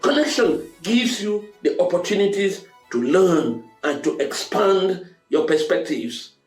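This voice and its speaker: Man, slightly high voice, roomy echo, preacherly motivational monologue, emphatic repetition, host addressing listeners. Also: animated, measured pace. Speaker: host of a motivational talk show. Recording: roomy echo, music underneath.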